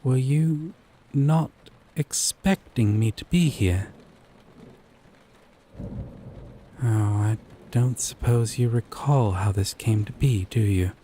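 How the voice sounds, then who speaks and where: A man playing a gentle, possessive yandere boyfriend from a dating sim, speaking tenderly and coaxingly with deliberate pauses in a scripted roleplay. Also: medium pitch, slight background noise, close to an everyday voice. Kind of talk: scripted roleplay audio drama.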